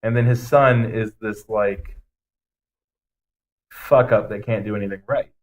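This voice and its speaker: A man's voice: fairly low pitch, steady tone, measured pace, rough recording. A man co-hosting a podcast, giving blunt, emphatic opinions in casual chat with some swearing. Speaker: podcast co-host. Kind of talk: casual ranting movie review chat.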